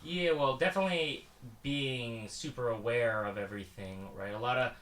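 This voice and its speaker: Loud, rambling man with a spiking pitch. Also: moderate pace, echoey room. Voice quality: loud voice with pitch that spikes upward. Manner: rambling, laughs often, lots of "right?" and "you know". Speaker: magician and improviser. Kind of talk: casual chat. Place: room in a house.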